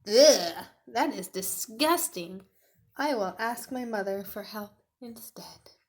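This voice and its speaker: A woman's voice: on the high side, takes it slowly. A woman voicing a small, earnest young male dinosaur in a storybook, speaking in simple, emphatic sentences. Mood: disgusted, lost. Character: small earnest young male T. rex, sad but determined.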